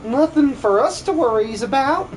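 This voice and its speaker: A man's voice: extremely high pitch, animated, moderate pace, a little mellow, slight background noise, pitched above the performer's own voice. A man voicing a small, polite female game creature with quaint, broken grammar. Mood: eager.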